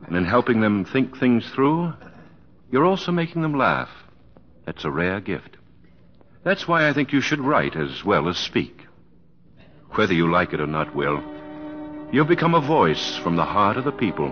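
A man acting as a gracious, earnest, professorial American president with formal, measured speech in an old radio drama. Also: lowish voice, very animated. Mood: earnest praise. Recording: dull noisy vintage radio recording.

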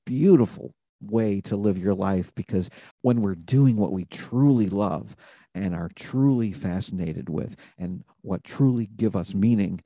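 A man's voice: lowish voice, mellow, dry recording. A man hosting an interview podcast, talking in an earnest, reflective way about purpose and connection. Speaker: podcast host. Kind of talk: conversational interview.

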